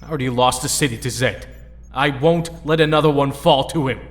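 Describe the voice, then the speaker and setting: An actor playing a determined, hot-headed young superhero leader in an audio drama. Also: male voice, mid-range voice, brisk, natural voice. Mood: defiant. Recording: long reverb.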